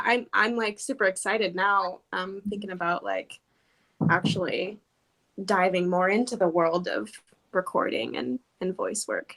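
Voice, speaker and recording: A woman's voice: mid-range voice, sharp tone, rough recording. A woman actor chatting casually and animatedly in an interview, with giggly, hesitant phrasing. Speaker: stage and voice actor. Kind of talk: casual chat, interview answers.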